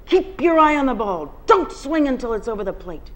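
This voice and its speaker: A woman's voice: sits high, big pitch swings, moderate pace. A woman reading aloud, voicing a bossy gym teacher who barks orders at a bookstore reading. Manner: barking orders. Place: bookstore reading before an audience.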